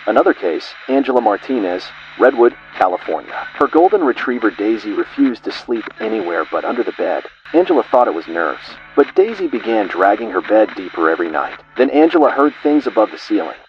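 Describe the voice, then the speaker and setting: Low-voiced guy reading creepy horror narration, ominous and choppy, pitch jumps around a lot, muffled sound with light hiss. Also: big pitch swings, a little fast. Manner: ominous, grave delivery in short clipped fragments.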